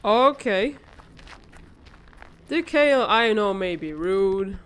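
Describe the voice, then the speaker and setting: A woman voicing a wry, level-headed older sister in a comic dub, calmly teasing and gently scolding. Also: mid-range voice, slow pace, clean tone. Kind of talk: animated comic-dub voice acting.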